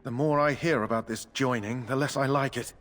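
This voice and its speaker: A man's voice: mid-range voice, steady tone, a little fast, fairly bright tone, close to an everyday voice. An actor voicing an anxious male knight recruit in a video game, worried and complaining. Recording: game audio with long reverb.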